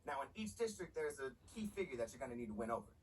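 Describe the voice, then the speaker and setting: Theatrical, wildly expressive hype friend. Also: male voice, mid-range voice, racing, breathy edge, far from the mic. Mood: briefing. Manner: theatrical, excitable, stammering into emphasis. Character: hype, scheming young male friend pitching grand plans. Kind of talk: video game cutscene acting.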